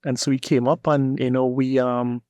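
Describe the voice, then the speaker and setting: A man from Trinidad and Tobago with a Trinidadian accent, a guest telling his story in a relaxed interview and often repeating words as he searches for them. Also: mid-range voice, normal speed, dry recording. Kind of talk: casual interview talk.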